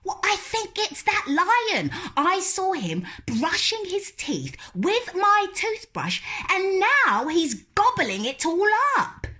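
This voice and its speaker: A woman's voice: very high-pitched, wildly swooping pitch, sharp tone, pitched above the performer's own voice, full character voice. A woman voicing a small, stubborn, imaginative little girl in a storybook, with dramatic, emphatic protests. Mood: earnest, indignant. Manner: emphatic, drawn-out protesting delivery.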